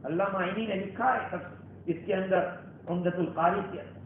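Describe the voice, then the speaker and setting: High-voiced male preacher, heavy echo. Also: normal speed, narrow, tinny audio. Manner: exhortative, rhetorical questions, swelling and dropping emphasis. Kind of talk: Friday sermon. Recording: band-limited, very echoey hall, faint noise.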